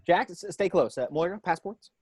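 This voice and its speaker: A man's voice: high voice, expressive, normal speed, slightly rough. A man playing a flustered businessman father in his thirties, nervous and hesitant, in a screenplay table read. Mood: fretful, bossy. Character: anxious, flustered thirty-five-year-old businessman dad.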